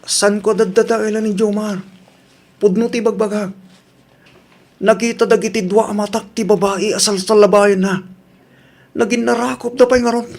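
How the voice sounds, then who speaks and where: A man's voice: high-pitched, steady tone, moderate pace, vocal fry, pauses often. A man acting in an Ilocano audio drama as a blunt young man, insistent and taunting as he talks. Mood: insistent, needling. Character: blunt, needling young man warning about a cheating boyfriend.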